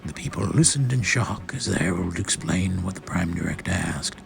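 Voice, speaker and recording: Grave, low, gravelly male narrator. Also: moderate pace. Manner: grave, solemn, theatrical. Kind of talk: dramatic first-person scripted narration of a space comic. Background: music.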